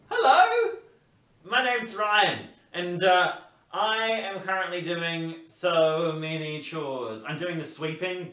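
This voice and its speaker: A man's voice: fairly high pitch, sharp tone, heavily acted. A young man playing a mischievous, bored big brother in a children's story, exaggerated and conspiratorial. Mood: bored, theatrical. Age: young adult. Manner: exaggerated, conspiratorial, talking to viewers. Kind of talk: playful children's storytelling performance. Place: plain indoor room. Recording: roomy sound, off the mic.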